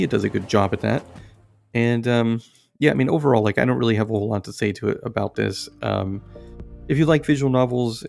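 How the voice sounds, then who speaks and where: A man hosting casual, unscripted game commentary, halting and rambling as he searches for words. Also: medium pitch, gravelly, a little mellow. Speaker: host of an indie game review channel.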